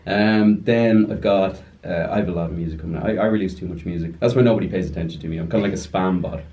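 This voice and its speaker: A young man telling a story as a podcast guest, joking and rambling with quick asides. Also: low-pitched, dark tone, highs cut off. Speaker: podcast guest, music producer and DJ. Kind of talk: casual storytelling chat.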